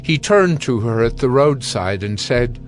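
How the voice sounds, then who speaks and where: A male narrator reads scripture in a solemn, measured way. His voice is of medium pitch, with quiet music playing underneath. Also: lively intonation.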